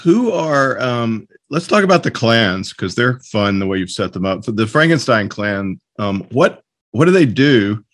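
A man hosting a podcast interview in a casual, halting way, often searching for words. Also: medium pitch, very animated, fairly bright tone, dry recording, studio quality.

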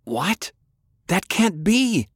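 Guy doing panicked grandson in an audiobook, earnest, urgent, pitched way up. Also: a little slow, no echo, clean studio sound, lightly acted. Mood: alarmed. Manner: urgent, disbelieving. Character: alarmed grandson, earnest and distraught man.

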